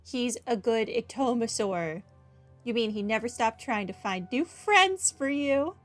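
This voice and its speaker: A woman's voice: fairly high pitch, lively intonation, measured pace. A woman hosting a game playthrough, reading dialogue and commenting in a casual way. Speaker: gaming video host. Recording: game music behind voice.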